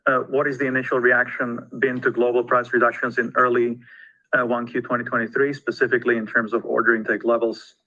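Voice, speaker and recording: The sound is a lo-fi, radio-like conference call. A man with a bright, crisp-edged tone and middling pitch moderates. He reads out questions from investors in a formal corporate setting. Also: little pitch movement, fairly quick.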